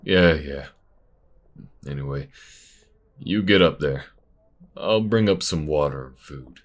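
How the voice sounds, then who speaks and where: Blunt, good-natured desert workshop partner for audio roleplay male actor: rock-bottom pitch, slow, laid-back, plain-spoken. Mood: friendly, casual. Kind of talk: casual audio roleplay acting.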